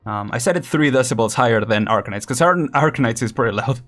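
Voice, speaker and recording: A man's voice: mid-range voice, lively intonation, fast, fairly bright tone, soft background music. Chatty laughing man streaming a game. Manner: chatty, rambling, laughs often. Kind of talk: casual live-stream commentary.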